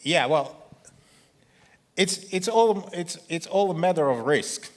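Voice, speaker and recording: Halting Dutch-accented man presenting. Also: a little high, lively intonation. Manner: halting, repeats words. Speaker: conference speaker. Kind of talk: technical presentation and audience Q&A.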